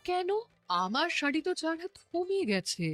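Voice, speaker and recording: A woman's voice: very high-pitched, sing-song, moderate pace, a bit bright, no echo, soft background music, heavily acted. A woman voicing a dramatic, pleading queen in a folk-tale cartoon, theatrical and coaxing. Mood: defensive. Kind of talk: cartoon voice acting.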